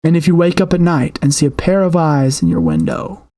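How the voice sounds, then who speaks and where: A woman hosting a gaming video, reading a horror game's story aloud in an expressive storytelling manner with her own asides. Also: low-pitched, brisk. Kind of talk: dramatic read-aloud narration of a horror game with asides. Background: game soundtrack music.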